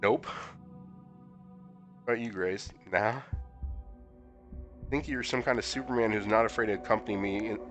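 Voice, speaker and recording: A hoarse man streaming a horror visual novel, reading the dialogue aloud and adding sarcastic comments, sometimes jumping into high-pitched disbelief. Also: fairly even intonation, normal speed, long pauses. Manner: casual, sarcastic commentary with sudden high-pitched incredulous outbursts. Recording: music playing behind the voice.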